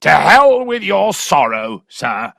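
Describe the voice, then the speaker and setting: A man reading a storybook while voicing a hot-tempered country squire who shouts and curses at his neighbour. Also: medium pitch, sing-song, takes it slowly, vocal fry, dry recording, clean studio sound, pitched above the performer's own voice, exaggerated voice. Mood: raging. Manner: shouting, cursing, furious.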